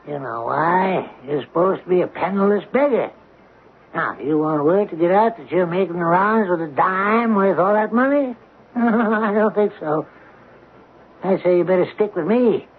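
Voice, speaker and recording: High-pitched sly haggling dealer. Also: male voice, moderate pace, breathy edge. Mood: wheedling, shrewd. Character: sly, haggling small-time male coin dealer. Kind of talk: 1970s radio drama acting. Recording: noisy, phone-like old radio recording.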